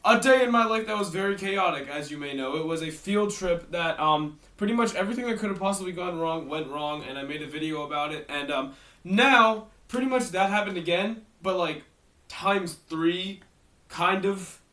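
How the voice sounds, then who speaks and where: A man's voice: high voice, normal speed, pushed and bright, distant mic. A teenage boy from Maryland with a worn-out, tired voice, rambling through a casual storytime vlog with self-mocking asides. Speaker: YouTuber.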